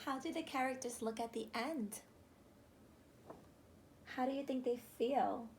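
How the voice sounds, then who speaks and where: A high-voiced woman reads a picture book to children. She takes her time, speaking expressively and stopping to put questions to her listeners. There is clear room noise in the recording. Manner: animated, questioning, slow and deliberate. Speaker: teacher reading a picture book aloud. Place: small room indoors, on a couch.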